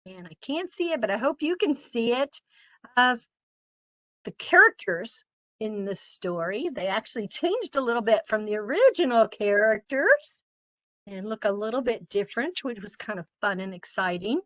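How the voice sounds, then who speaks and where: A woman children's author, cheerful and animated, reading aloud to kids over a video call. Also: on the high side, moderate pace, dry recording, poor audio.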